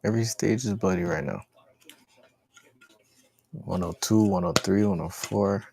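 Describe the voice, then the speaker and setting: A man's voice: sits low, unhurried, creaky, warm tone, husky. A man hosting a livestream, giving casual, slangy, repetitive commentary and talking to his chat. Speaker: livestream host.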